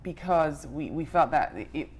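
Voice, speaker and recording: Low-voiced woman, halting interview delivery. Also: expressive, a little mellow, slight background noise. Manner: hesitant, halting phrasing. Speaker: fellowship organiser, interview guest. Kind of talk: conversational interview answers.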